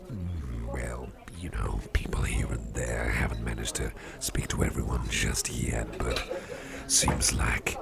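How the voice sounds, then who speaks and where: Guy doing a jovial old devil boss for asmr, super low and crackly, slow rambly chat, party crowd murmuring behind. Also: exaggerated voice. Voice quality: gravelly, fry-laden deep voice. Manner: unhurried, rambling, genial, with repeated phrases. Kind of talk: ASMR roleplay, soft chat to listener. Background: party crowd chatter.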